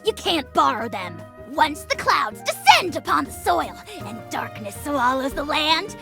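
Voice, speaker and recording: A performer voicing a tiny, arrogant, bratty dragon creature in a video game, shrill and scratchy, with bombastic, taunting, theatrical whining. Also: vocal fry, pushed and bright, exaggerated voice. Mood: mocking, then grandiose menace. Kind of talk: animated video game voice acting.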